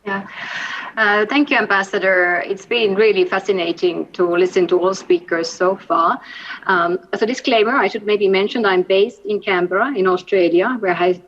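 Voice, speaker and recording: A woman from Finland giving measured, structured remarks as a panelist in an online webinar. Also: medium pitch. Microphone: video call. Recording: slightly dull online audio.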